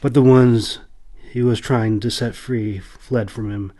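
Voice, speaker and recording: A man's voice: mid-range voice, fairly even intonation, takes it slowly, warm tone. A man narrating a fantasy adventure as a scripted audiobook, in a solemn, theatrical manner. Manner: solemn, theatrical, swells on action and drops for somber passages. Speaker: audiobook narrator, author reading own book. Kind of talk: dramatic scripted fantasy storytelling.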